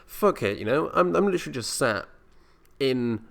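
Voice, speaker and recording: A man's voice: medium pitch, lively intonation, moderate pace, vocal fry, a bit bright. A young man talking casually as a vlogger, rambling in a self-deprecating, mildly sweary way. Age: young adult. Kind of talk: casual solo audio diary. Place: indoor university communal area at night.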